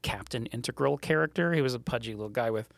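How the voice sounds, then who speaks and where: A man's voice: mid-range voice, fast talker, vocal fry, no room sound. A middle-aged man from Montreal, a startup co-founder and podcast guest, telling rambling, joking stories in a casual interview. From Montreal, Canada.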